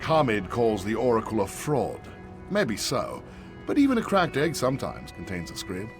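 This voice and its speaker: A man voicing a weary, kindly old man in a fantasy game, speaking in a measured, reflective way. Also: lowish voice, wildly swooping pitch, music in the background. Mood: wistful. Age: older. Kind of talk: AI-voiced fantasy game dialogue.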